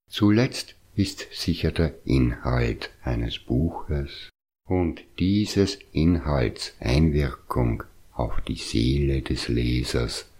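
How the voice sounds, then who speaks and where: Very deep, slow husky man reading. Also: a little mellow. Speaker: audiobook reader. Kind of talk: scripted reading of a reflective essay.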